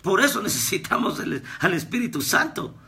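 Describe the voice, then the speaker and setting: A man, preaching a fervent devotional sermon as a pastor and prophet, with repetitions that rise to shouts. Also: high-pitched, very animated, normal speed, vocal fry. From Guatemala. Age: 30s.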